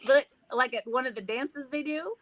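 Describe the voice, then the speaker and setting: Wry, quick-quipping woman, crowd noise. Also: sits high. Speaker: guest comedian. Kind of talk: casual video chat.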